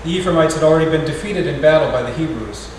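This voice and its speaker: A middle-aged American man, a parish artist in residence, reads a wry poem in a gentle, measured way with pauses at a farewell gathering. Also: on the high side. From United States. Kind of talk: poetry reading at a farewell gathering. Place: large echoey parish hall. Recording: echoey hall from far off, noisy.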